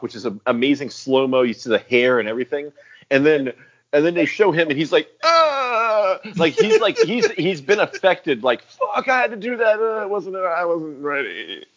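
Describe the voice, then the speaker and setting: A man's voice: fairly high pitch, dull audio. A man co-hosting a podcast in casual chat, rambling and swearing as he acts out lines. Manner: animated, rambling, swears often, acts out quotes. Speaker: podcast co-host.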